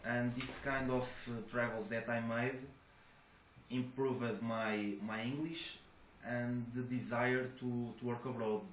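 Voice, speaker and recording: A man's voice: medium pitch, little pitch movement, slow. A young man from Portugal, a native Portuguese speaker with a Portuguese accent, answering job interview questions in English with hesitant restarts. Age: young adult. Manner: hesitant, many restarts and fillers. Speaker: job applicant. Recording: echoey room with faint noise.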